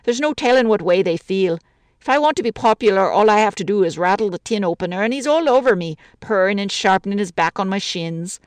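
A woman reading a story aloud, voicing a chatty, homely widow who misses the quiet of the country. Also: mid-range voice, expressive, fairly quick, no room sound, pitched above the performer's own voice, natural voice. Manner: chatty, homely, warm-hearted talk.

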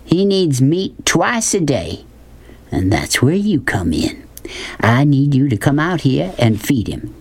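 A gruff, bullying country man from a storybook, voiced by a woman reader, speaking with a drawl. He is folksy and pushy, with a mocking edge to what he says. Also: rumbling low, sing-song, slow, darkish tone, voice pushed up, exaggerated voice. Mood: scheming, boastful. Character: gruff, bullying, sweaty-faced rural motel man.

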